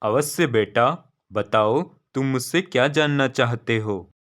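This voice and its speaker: A man playing a wise, kindly wandering holy man in a cartoon story, benevolent and measured. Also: mid-range voice, a bit bright.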